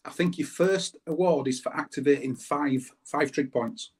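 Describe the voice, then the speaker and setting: A man's voice: fairly high pitch, normal speed, husky. A British man, a guest on a show, explaining things in casual conversation. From United Kingdom.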